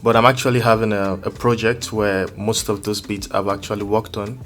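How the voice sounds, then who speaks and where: A man's voice: on the low side, level tone, brisk, fairly bright tone. A man talking casually as a music producer being interviewed, hesitant and feeling for his words as he explains. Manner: hesitant, searching for words, roundabout phrasing.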